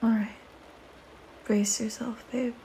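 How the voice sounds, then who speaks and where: A woman voicing a flirty, teasing, predatory female vampire in an intimate audio roleplay, with playful banter and breathy murmurs. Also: a little high, flat delivery, slow pace, warm tone, slight background noise, poor audio. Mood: smug, taunting.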